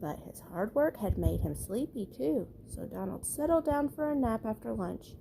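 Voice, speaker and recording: A woman's voice: a little high, expressive, moderate pace, creaky, warm tone, slight background noise, rough recording. A woman reading a children's storybook aloud at bedtime, as the narrator. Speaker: storybook reader.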